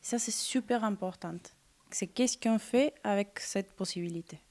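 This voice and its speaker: A woman from Argentina, a singer interviewed on the radio, who searches for her words with many pauses and fillers. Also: mid-range voice, darkish tone.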